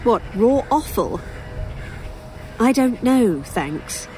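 A woman's voice: fairly high pitch, very animated, extremely slow, mellow, slight background noise, full character voice. An actress playing a weary, sardonic human woman in an audio drama, with dry sarcasm and some hesitation. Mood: guarded, irritated. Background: outdoor ambience, crows, vehicles.

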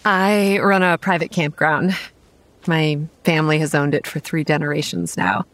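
A woman's voice: deep voice, normal speed, dry recording, natural voice. An actress playing a frank, weary young woman who manages a haunted campground, narrating a horror audio drama in a confiding, matter-of-fact way. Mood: grave, confiding. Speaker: narrator and protagonist.

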